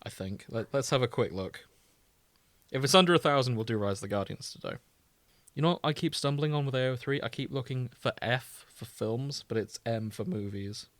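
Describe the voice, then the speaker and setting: A male podcast host with a middling pitch, recorded close and dry. He chats casually about fandom and keeps the conversation moving with questions. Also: brisk, fairly bright tone, no echo. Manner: keeps steering the discussion with questions. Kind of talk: casual banter on a fan podcast.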